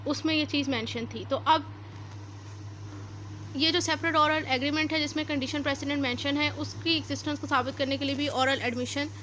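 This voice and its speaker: A Pakistani woman teaches in a very high-pitched, bright voice. She explains methodically, repeating points and slipping English legal terms into her speech. There is some faint noise behind her in a home-made lecture recording. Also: fairly even intonation, fairly quick, low-quality recording. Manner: didactic, repetitive step-by-step explaining, code-switching into legal English terms. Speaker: law lecturer. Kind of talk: explanatory lecture, alternating read-out statutes with explanation.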